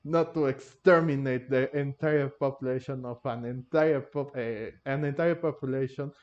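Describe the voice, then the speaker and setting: A man giving casual gameplay commentary, halting and often rephrasing himself. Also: mid-range voice, steady tone. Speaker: gamer commentator. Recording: dull, lo-fi microphone.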